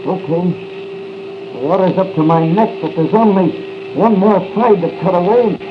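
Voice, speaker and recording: An actor playing a plucky masked crime-fighter in 1940s radio style, urgent and commanding. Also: male voice, high voice, moderate pace, close to an everyday voice. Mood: strained excitement. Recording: muffled, noisy 1940s radio broadcast.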